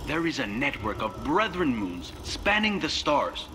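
An actor playing a solemn researcher who explains things in measured, dramatic fashion in a sci-fi game. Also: male voice, medium pitch, very animated, pushed and bright, soft background music. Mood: solemn, ominous. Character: grave male researcher leaving a recorded message.

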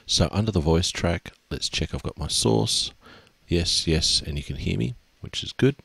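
Middle-aged man, very low gravelly voice, dry close mic, patient walkthrough teacher. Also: normal speed, dry recording. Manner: steady step-by-step explaining with fillers. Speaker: music tech teacher. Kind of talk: screen-recorded software tutorial.

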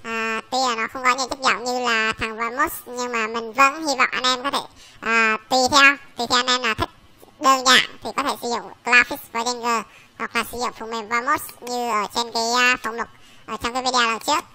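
A man voicing a small baby pig through a pitch-shifting voice changer, giving an informal software tutorial. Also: flat delivery, a little fast, full character voice, rough recording. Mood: instructive. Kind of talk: informal screen-recorded tutorial.

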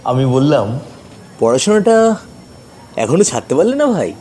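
A man's voice: on the high side, very animated, moderate pace, dark tone, a little hiss or hum. A man reading a scripted first-person story as a dramatic narrator. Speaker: story reader. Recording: long reverb, faint music behind.

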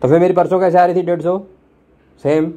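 A man's voice: high-pitched, steady tone, fast, dark tone. A young man from India making an unscripted complaint call as a customer, pressing his point in a blunt, challenging, insistent way. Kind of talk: phone complaint, unscripted argument. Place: room at home.

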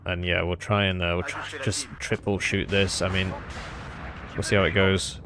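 A man's voice: low-pitched, little pitch movement, normal speed, a crowd in the background. A man giving casual, rambling and self-deprecating commentary on his own strategy game as he plays.

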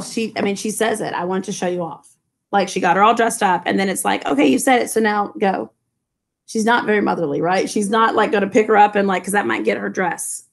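A middle-aged woman, a high school English teacher reading a novel aloud to her class and breaking off into chatty asides full of "like" and "you know", recorded on a laptop webcam in a classroom. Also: gravelly. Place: classroom with low ceiling and fluorescent lights. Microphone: laptop webcam from below.

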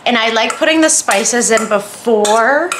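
A woman's voice: on the high side, a little slow, noisy background. A woman giving a casual, chatty home cooking demonstration, informal and full of self-deprecating asides. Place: indoors, home kitchen.

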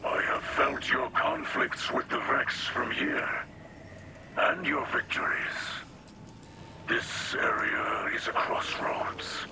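Solemn old-soul alien warrior for a game, guttural whispery rasp, really slow, noisy ambience behind. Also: male voice, mid-range voice, huge pitch swings, heavily acted. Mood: grave. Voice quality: breathy, rasping, guttural. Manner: grave, measured, formal. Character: solemn, wise alien warrior-mystic, male, earnest and hopeful. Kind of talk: scripted video game dialogue. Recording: clear ambient noise behind voice.

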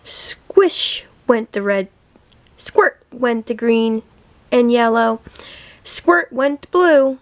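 A woman reading a children's picture book aloud as a teacher would, with deliberate, expressive phrasing for young children. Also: a little high. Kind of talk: scripted storybook read-aloud.

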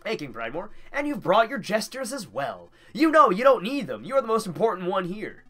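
A man's voice: high voice, big pitch swings, a little fast, bright, forceful tone, pitched above the performer's own voice, exaggerated voice. A man voicing a scheming female sorceress villain in a video game, mocking and sly. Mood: flattering.

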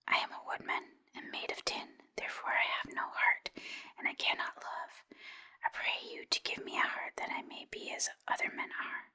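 A woman's voice: moderate pace, bright, forceful tone. A woman voicing an earnest, polite tin man from a storybook in a formal, pleading whisper.

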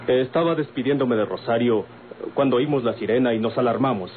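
A man performs an earnest Mexican character from an old radio serial. He is polite and formal, explaining insistently at a middling pitch. The recording is thin and band-limited. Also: fairly quick, narrow, tinny audio, natural voice. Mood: earnest, serious. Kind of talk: vintage Mexican radio drama acting.

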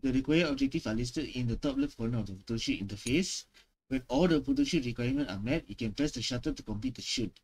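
A man's voice: mid-range voice, lively intonation, moderate pace, a little mellow, husky. A man streaming a game, reacting casually and reading on-screen text aloud. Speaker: gaming streamer. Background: game audio.

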